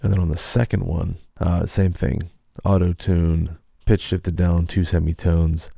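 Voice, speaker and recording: A man talks through a how-to in a casual, explanatory way. His voice is very deep and mellow with a lot of fry, recorded dry and close. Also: no echo. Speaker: video creator giving a tutorial.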